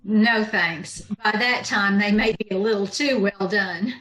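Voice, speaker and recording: Woman acting sardonic schoolteacher, old radio sitcom, roomy echo, slow dry timing, quick-witted. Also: medium pitch, a bit bright, close to an everyday voice. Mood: drily bemused. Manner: dry, wisecracking delivery. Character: wry, quick-witted female high school English teacher. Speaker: amateur radio troupe actor. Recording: roomy, echoey home video-call audio.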